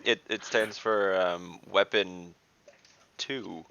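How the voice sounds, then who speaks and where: A man chatting casually as a player in a tabletop game, with a dry, rambling, deadpan way of talking. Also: low voice, takes it slowly, creaky, lo-fi sound, slight background noise. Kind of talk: casual in-character game chat.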